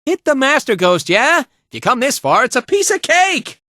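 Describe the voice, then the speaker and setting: A man's voice: very high voice, very animated, moderate pace, pushed and bright, no room sound, studio quality, exaggerated voice. An actor playing a laid-back, playful male fighter in a video game, with an easygoing, casual drawl. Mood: casual guiding. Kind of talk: animated video game voice lines.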